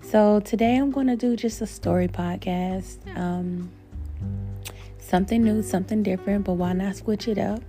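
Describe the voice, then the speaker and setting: A woman's voice: mid-range voice, normal speed. A woman hosting a podcast in a casual, encouraging way, often speaking of faith and gratitude to her listeners. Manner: warm, encouraging, spiritual, talks to listeners as "my babies". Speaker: podcast host. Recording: faint guitar music behind.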